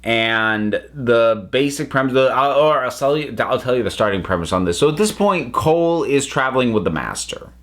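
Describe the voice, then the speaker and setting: A man's voice: mid-range voice, expressive, moderate pace, hardly any pauses, fairly bright tone. A man giving a casual spoken review to viewers, thoughtful and emphatic, often breaking off to rephrase.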